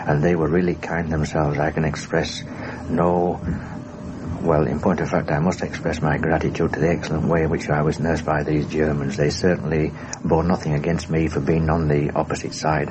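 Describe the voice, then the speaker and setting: Very deep, monotone man reminiscing. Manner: hesitant, formal phrasing. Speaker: former airman and prisoner of war recounting his experience. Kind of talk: spoken first-person reminiscence in a documentary. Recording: noisy 1960s radio documentary recording.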